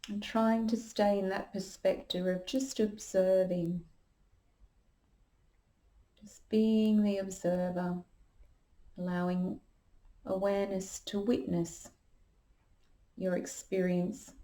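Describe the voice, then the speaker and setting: A woman leading a guided meditation as a yoga teacher, calm and unhurried, giving gentle instructions. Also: mid-range voice.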